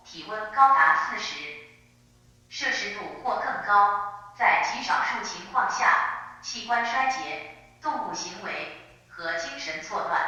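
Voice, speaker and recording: A woman's voice: medium pitch, pushed and bright. A woman reads a scripted emergency alert as a broadcast announcer, formal and impersonal. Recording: dull, heavily echoing broadcast sound.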